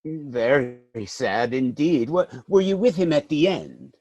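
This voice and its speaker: A man's voice: fairly high pitch, animated, unhurried, darkish tone, dry recording, muffled recording. A man playing a pedantic, mild, middle-aged clergyman in a dramatic reading of a stage comedy, speaking in pompous phrases. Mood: solemn concern.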